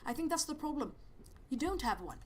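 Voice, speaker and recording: A man's voice: very high voice, very animated, brisk. A man with a Swedish accent voicing a goofy, rambling persona who stammers through a video game commentary and yelps when startled. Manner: rambling, hesitant, jumpy exclamations. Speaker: let's play host. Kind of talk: let's play commentary. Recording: game music loud behind voice.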